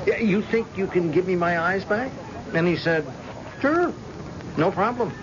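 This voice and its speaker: A man's voice: high-pitched, lively intonation, crowd noise. An actor playing a stubborn, cagey middle-aged blind father in an old-time radio drama, with a hesitant, folksy delivery. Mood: cheerfully evasive. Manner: hesitant, folksy, halting interjections. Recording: muffled, noisy old radio recording.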